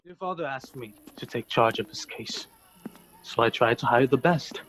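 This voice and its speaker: An actor playing a grave, honorable lieutenant in a radio drama, speaking in a solemn, measured storytelling way. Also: male voice, medium pitch, a bit bright, natural voice, rough recording.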